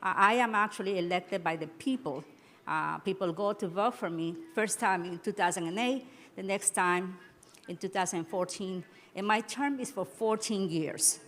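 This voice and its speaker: A woman's voice: medium pitch, a bit bright. Hesitant woman in a big hall. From Ecuador. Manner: halting, many restarts and fillers. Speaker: judge giving a panel talk. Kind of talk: conference speech, switching between English and Spanish. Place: large conference hall. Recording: reverberant conference hall.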